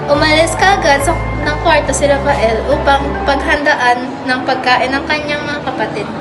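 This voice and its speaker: High-voiced Filipino woman narrating over loud music. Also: moderate pace. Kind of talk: scripted storytelling narration for a radio drama. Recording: loud music and crowd noise behind.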